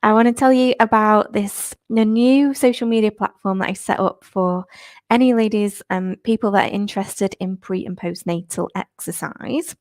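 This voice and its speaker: A woman, a fitness instructor, chatting upbeat and slightly croaky on a live stream, laughing often. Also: mid-range voice, a little mellow, no room sound, clean studio sound. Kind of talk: live-stream chat with viewers. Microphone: new clip-on microphone.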